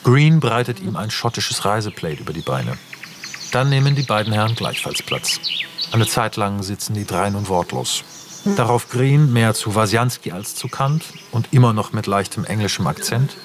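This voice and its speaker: A man's voice: fairly low pitch, animated, moderate pace, a little hiss or hum. A man narrating a radio drama, reading stage directions in a terse, matter-of-fact way.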